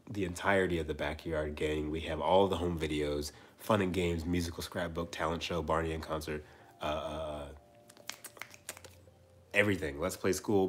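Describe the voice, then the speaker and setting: A man in his twenties hosting a casual livestream chat, rambling and repeating himself with soft trailing asides. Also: sits low, a little hiss or hum. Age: 20s. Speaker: livestream host.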